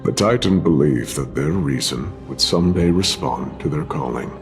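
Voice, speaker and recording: An actor playing a solemn, weary warrior in a video game, speaking gravely and with measured deliberation. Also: male voice, a bass voice, lively intonation, soft background music, exaggerated voice. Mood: grave recounting. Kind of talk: dramatic video game voice acting.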